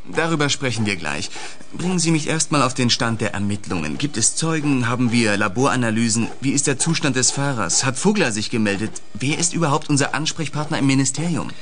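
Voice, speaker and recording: An actor in a German radio play playing a commanding investigation chief, curt and authoritative. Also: male voice, mid-range voice, lively intonation, a little fast, fairly bright tone, natural voice.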